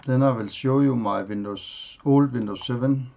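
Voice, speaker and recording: A man whose first language is Danish, hosting a tech tutorial and walking through his computer setup in a plain, halting way, listing items with pauses and fillers. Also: mid-range voice, creaky, darkish tone. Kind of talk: screen-recorded software walkthrough.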